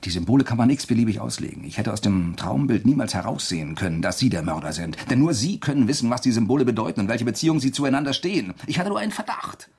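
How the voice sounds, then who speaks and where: An actor playing a cornered intellectual writer in a German radio crime drama, reasoning tensely with a killer in a breathy, gravelly voice that often becomes hushed. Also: male voice, mid-range voice, very animated, quick pace, few pauses. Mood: accusing, analytical.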